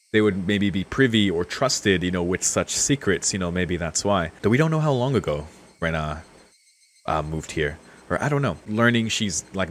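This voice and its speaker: A man's voice: low voice, a bit bright, a little hiss or hum. A man streaming a visual novel, mixing read-aloud narration with casual commentary. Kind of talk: casual let's-play commentary and reading aloud.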